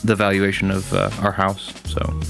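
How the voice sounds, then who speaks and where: A man's voice: low-pitched, fairly even intonation, a little slow, creaky, soft background music. A man hosting a gaming stream, chatting casually with viewers in a rambling way, full of restarts and fillers.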